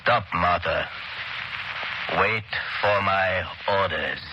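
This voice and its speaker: Sinister radio-serial hypnotist, low-pitched, deliberate and domineering, on a hissy 1930s broadcast. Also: male voice, muffled recording. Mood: cold, concentrated. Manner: slow, deliberate, commanding. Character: sinister commanding hypnotist doctor, cold and controlling. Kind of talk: 1930s radio drama acting. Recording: noisy 1939 radio broadcast recording.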